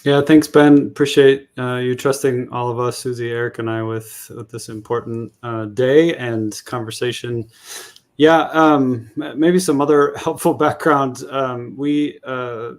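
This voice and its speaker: Flat-toned male researcher, measured. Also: medium pitch. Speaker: panel guest and researcher. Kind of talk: panel discussion.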